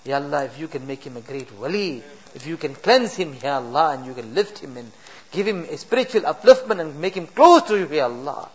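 A man's voice: on the high side, expressive, brisk, slightly rough, lo-fi sound. Pleading man leading a mosque prayer. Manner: pleading, rising to impassioned cries. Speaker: imam leading a supplication.

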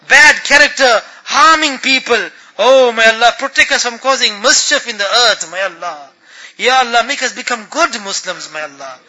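A man delivers an impassioned religious supplication in an extremely high, intensely sharp voice. He sounds tearful and on the verge of breaking, pleading with drawn-out, repeated appeals as a prayer leader would. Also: poor audio.

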